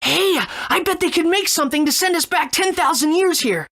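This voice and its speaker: A woman voicing an earnest, excitable young boy adventurer in a video game. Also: very high-pitched, brisk. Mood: eager.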